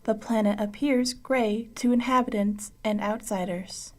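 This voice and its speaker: A woman playing an impassive spaceship computer in a comic radio play, measured and matter-of-fact. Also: on the high side, fairly even intonation, lightly acted. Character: impassive spaceship computer giving planet data.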